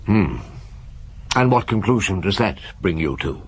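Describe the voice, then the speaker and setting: An actor playing a shrewd, measured police chief inspector in a radio drama, recounting his case and questioning people. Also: deep voice, very animated, breathy edge, exaggerated voice. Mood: probing. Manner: measured, probing, hesitant hums and questions. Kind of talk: radio drama acting, narrating and interrogating.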